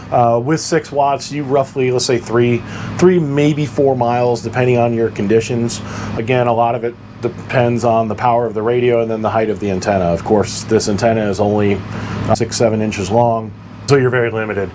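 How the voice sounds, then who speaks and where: A man with a medium-pitched voice talks through gear in an informal, practical way. He is plain-spoken and explanatory, like someone hosting a buyer's guide. There is clear background noise behind him, like air conditioning. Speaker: boating gear reviewer and host.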